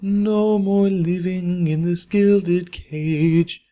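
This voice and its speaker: A man singing slowly as a theatrical, yearning female pony in a story. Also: high voice, steady tone, warm tone, lo-fi sound, pitched above the performer's own voice. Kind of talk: slow unaccompanied singing.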